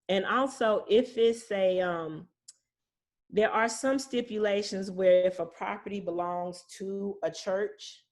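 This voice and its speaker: A woman's voice: on the low side, a little mellow. Conversational woman explaining as a guest expert. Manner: conversational, illustrates points with quoted exchanges. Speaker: property manager, guest speaker. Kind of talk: informal explanatory talk with anecdotes.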